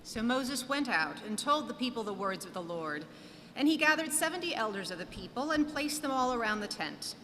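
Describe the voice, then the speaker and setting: A woman's voice: a little high, animated, a little fast, a bit bright. A woman narrating a scripture reading expressively in church. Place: large church indoors. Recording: reverberant church, audible noise.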